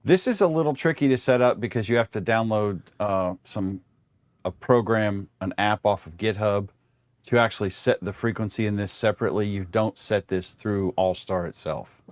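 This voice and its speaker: Male guest, fairly deep and plain-spoken, casually walking through gadgets, very little room sound. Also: moderate pace, dry recording. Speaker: livestream guest. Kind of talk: casual explanatory tech chat.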